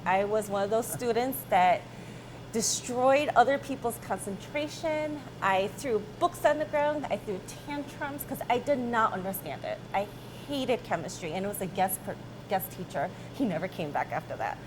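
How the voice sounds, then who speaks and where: A woman's voice: medium pitch, expressive, normal speed, background noise. A woman in her thirties with an American accent, answering interview questions conversationally as a company chief, informally checking in with "right?". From born in South Korea, grew up in Michigan, USA.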